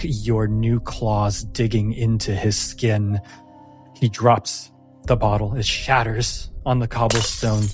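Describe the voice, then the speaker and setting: Slow, solemn man narrating as game master. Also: fairly low pitch, a little mellow. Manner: deliberate, solemn, with dramatic pauses. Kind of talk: dramatic tabletop game narration.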